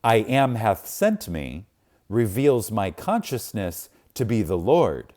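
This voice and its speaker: Slow, solemn man reading scripture-like text. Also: fairly low pitch, darkish tone. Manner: solemn, emphatic, with deliberate pauses. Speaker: reader of a spiritual book. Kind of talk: reverent scripted reading, audiobook-like.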